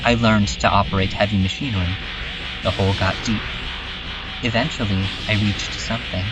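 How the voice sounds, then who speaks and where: A man playing a lonely, despairing last survivor, narrating a bleak diary in a halting, confessional way. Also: lowish voice, steady tone, over loud music, natural voice, poor audio. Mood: bleak, detached. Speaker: narrator of a horror comedy fan dub. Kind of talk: slow first-person scripted narration.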